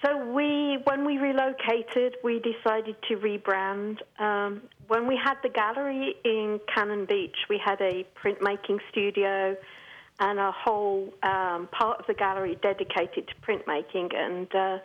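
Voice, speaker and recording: A woman gallery owner answering interview questions in a measured way, with frequent ums and uhs. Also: mid-range voice, steady tone, dull audio.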